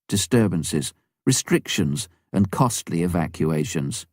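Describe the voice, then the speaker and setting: A man reading a memoir aloud as scripted narration, in a measured, clearly phrased way. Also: sits low, a little mellow, dry recording, studio quality. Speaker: audiobook narrator.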